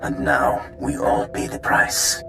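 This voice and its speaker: An actor playing a grave, courteous slaver boss in a video game, with a gravelly, measured, formal delivery. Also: male voice, over music, lightly acted. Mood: grave, foreboding.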